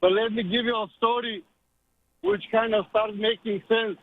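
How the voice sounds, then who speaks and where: A man from Bulgaria with a Bulgarian accent, calling a radio show and telling a story in halting non-native English. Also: high voice, fairly even intonation. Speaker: caller. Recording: tinny phone line.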